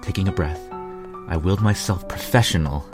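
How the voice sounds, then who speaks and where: A man narrates fiction in a very low voice. His delivery is earnest, expressive and measured. Music is clearly heard behind him throughout. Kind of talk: dramatic first-person story narration.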